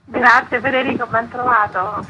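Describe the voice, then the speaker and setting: A woman's voice: on the high side, fairly even intonation, normal speed, dull audio, a little hiss or hum. An articulate woman, a curator explaining a cultural programme in a radio interview. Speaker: festival curator being interviewed.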